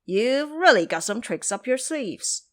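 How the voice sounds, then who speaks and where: A woman's voice: fairly high pitch, sing-song, measured pace, voice pushed up. Woman voicing a greedy, demanding man-eating monster. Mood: delighted.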